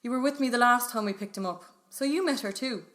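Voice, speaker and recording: High-voiced Irish mother, matter-of-fact played by actress. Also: fast, a bit bright, lightly acted. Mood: casual, reminiscing. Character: older Irish mother recalling local gossip. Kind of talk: conversational audio drama dialogue.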